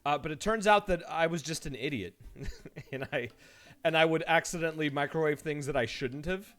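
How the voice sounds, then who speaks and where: Man, live streamer, slightly high voice, casual chat, animated storytelling, soft background music. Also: normal speed. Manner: animated storytelling, mimics his own reactions; laughs easily while talking.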